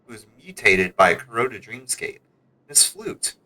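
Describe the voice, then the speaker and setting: A man's voice: lowish voice, very animated, a little slow, vocal fry, bright, forceful tone, exaggerated voice, poor audio. A man reading out the game lines of a chatty, aging female cello who keeps a music shop. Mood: confiding, fretful. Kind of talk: reading game dialogue aloud.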